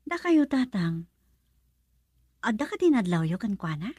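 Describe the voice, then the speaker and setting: A woman's voice: mid-range voice, very animated, moderate pace, mellow, husky, no echo, studio quality. A woman with an Ilocano Filipino accent acting in a radio drama as a modest, hesitant young working woman and respectful daughter. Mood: guarded, respectful.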